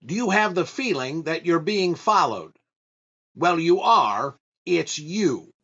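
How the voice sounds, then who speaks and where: Droll male radio comic acted by a man, 1940s style: highish, forceful voice, deliberate deadpan, slightly dull recording. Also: heavily acted. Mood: droll, selling. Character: droll hesitant male radio comedian as disc jockey sidekick. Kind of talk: 1940s radio comedy acting.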